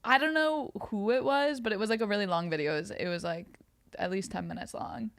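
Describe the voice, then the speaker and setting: A woman's voice: medium pitch, fairly quick, creaky, no room sound. A woman co-hosting a podcast in casual conversational banter. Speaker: podcast co-host.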